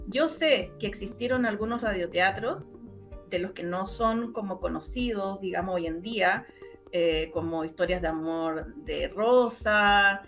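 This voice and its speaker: Chilean woman recounting in halting phrases. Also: mid-range voice, a bit bright, faint music behind. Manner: halting, searching for words, repeating phrases. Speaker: interviewee, researcher and former radio journalist. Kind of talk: informal interview, recounting research.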